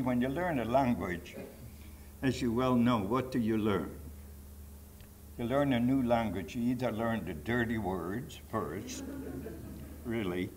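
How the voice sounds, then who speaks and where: An elderly man in his nineties with a Polish accent gives personal testimony to a live audience. He takes his time over each phrase, stressing points and wandering into asides. There is some light noise in the recording. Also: medium pitch. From Poland. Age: 90s. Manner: emphatic, digressive storytelling with rhetorical asides. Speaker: Holocaust survivor giving testimony. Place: public talk to an audience.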